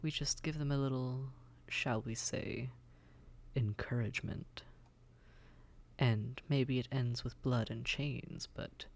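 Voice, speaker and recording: Haughty demon prince for audio roleplay, male actor: slow, refined, gravelly, condescending, seductive, often near a whisper. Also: mid-range voice. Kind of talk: scripted roleplay audio drama, addressed to listener.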